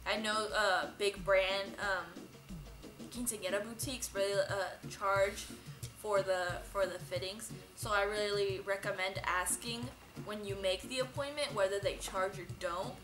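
Young woman giving casual tips on a vlog, highish voice, roomy shop sound, music behind. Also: steady tone, unhurried. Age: young adult. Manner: chatty, informal, explanatory. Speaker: vlog host, boutique worker. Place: indoor dress shop. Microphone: camera a few metres away. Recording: roomy sound from camera distance.

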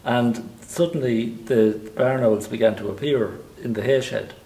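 A middle-aged man from Ireland with an Irish Midlands accent, a farmer talking in a casual interview, halting with many restarts and fillers. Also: mid-range voice, warm tone, slightly rough, rough recording. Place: outdoors in a field, then inside a farm shed.

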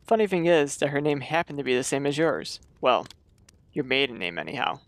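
A man's voice: mid-range voice, big pitch swings, brisk, dry recording. A man playing an earnest, uneasy suburban husband in a radio play, talking in a hesitant, conversational way. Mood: uneasy probing.

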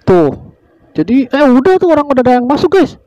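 A man's voice: very high voice, very animated, fairly quick, darkish tone, no echo, lo-fi sound. A man from Indonesia narrating a motorbike ride through village roads in casual, chatty fashion, constantly addressing his viewers and wondering aloud about the way ahead. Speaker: motovlogger.

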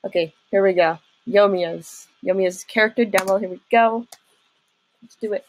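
A young adult woman giving casual reaction commentary as a video host, rambling informally and excitably. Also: mid-range voice, moderate pace, background noise. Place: small room at home.

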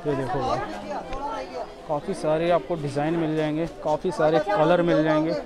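Higher-voiced man amid market crowd. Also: fairly even intonation, moderate pace. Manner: repetitive, addresses viewers as brother. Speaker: vlogger and host. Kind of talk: casual market tour commentary. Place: outdoors in a busy street market. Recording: busy outdoor street market crowd. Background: crowd chatter.

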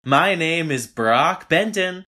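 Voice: medium pitch, animated, slow pace, pushed and bright, exaggerated voice. An actor playing a showy, genial comedy contest host in an audio drama, with polished announcer delivery.

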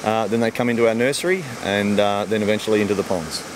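Australian farmer bloke talking quick and easy, simple comparisons, outside by ponds with a bit of background hum. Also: male voice, fairly low pitch, steady tone. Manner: easygoing, explains simply with everyday comparisons. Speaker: fish farmer. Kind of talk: outdoor interview answering kids' questions. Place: outdoors beside farm ponds. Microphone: handheld mic held by interviewer.

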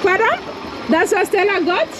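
A woman's voice: very high voice, big pitch swings, moderate pace, background noise. A woman with a Kenyan accent chatting casually and playfully as a travel vlogger out on the street. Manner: playful, laughs often. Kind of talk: casual chat with passers-by and off-the-cuff commentary. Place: outdoors on a busy city street by traffic. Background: music, street crowd and vehicles.

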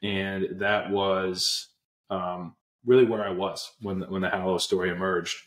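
A man's voice: deep voice, level tone, unhurried, roomy sound. A young man from Pennsylvania, a guest on a video call with earbuds, telling his story earnestly with many fillers and restarts. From Pennsylvania, USA. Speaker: guest, app co-founder. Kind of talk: casual interview storytelling. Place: small room indoors. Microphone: earbud headset on video call.

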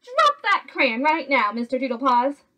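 A woman's voice: high-pitched, sing-song, measured pace, pushed and bright, exaggerated voice. A woman voicing a firm but affectionate mother cat in a children's storybook, bossy and emphatic. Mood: scolding. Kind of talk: children's storybook read aloud.

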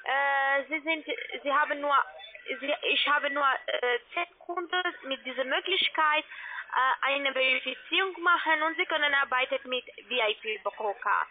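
A woman who is not a native speaker, talking as a call centre agent over the phone in halting, broken grammar, insistently. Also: sits high, a little hiss or hum. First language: not German. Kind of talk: phone sales pitch. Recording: narrow telephone line.

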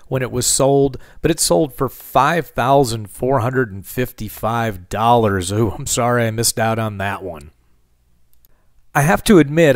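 A man hosting a car channel, talking casually over a market review with relaxed fillers. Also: medium pitch, dry recording, studio quality. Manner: relaxed, conversational, frequent "uh" fillers.

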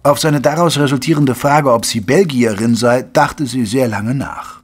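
An actor playing a caring gentleman physician who narrates a German audio drama in a measured, literary way. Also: medium pitch, husky, close to an everyday voice. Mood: measured narrating.

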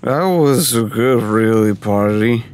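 Man acting a slow-talking drunk, defensive guy. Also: mid-range voice, darkish tone, natural voice. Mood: tipsy, stubborn. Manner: slow, halting, tipsy. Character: drunk, superstitious, easily spooked man, defensive tough guy. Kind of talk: audio drama dialogue. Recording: car noise behind the voice.

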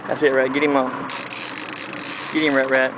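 A middle-aged father coaching his kids while fishing on a lake, encouraging them with excited whoops. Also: medium pitch, normal speed. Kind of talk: casual coaching on a boat. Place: outdoors on a small boat on a lake. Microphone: handheld camera mic. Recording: loud wind and boat noise.

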